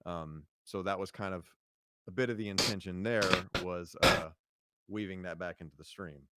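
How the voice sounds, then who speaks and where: Low-voiced man in relaxed chat. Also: normal speed, very noisy. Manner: relaxed, rambling with fillers and laughs. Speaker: podcast guest, singer. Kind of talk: casual podcast chat.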